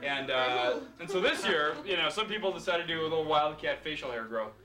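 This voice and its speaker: A man's voice: a little high, expressive, a little fast. A man handing out joke awards to a group of friends, teasing and laughing as he announces each category. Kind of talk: casual joking award announcements. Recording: echoey, from far off on a home camcorder.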